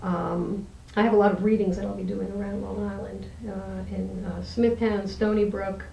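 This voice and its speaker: A woman's voice: medium pitch, little pitch movement, normal speed, gravelly, highs cut off, slight background noise. A woman who is an interview guest, a poet and teacher, talking and reading her poetry aloud. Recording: roomy echo, distant microphone.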